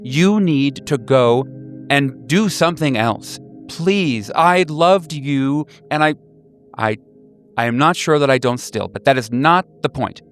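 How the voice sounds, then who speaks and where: Wounded male former lover, acted by a man, gravelly, emphatic, halting, roleplayed phone drama. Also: medium pitch, pitched above the performer's own voice, lightly acted. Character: wounded, exasperated former lover, a man, firmly refusing reunion.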